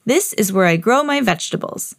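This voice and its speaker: A woman's voice: mid-range voice, animated, fairly quick, vocal fry. Lady doing a nice old grandpa pig in a kids' story, explains stuff patiently. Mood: kindly. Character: kindly old grandfather pig who gardens. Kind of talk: children's storybook character voice.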